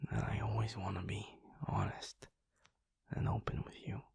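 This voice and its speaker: A man voicing a caring werewolf boyfriend in an intimate audio roleplay, speaking in a soft, hushed, confiding way. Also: fairly low pitch, big pitch swings, moderate pace, gravelly, slightly rough, dry recording, whispered, exaggerated voice. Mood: tender. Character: caring werewolf boyfriend, young adult male.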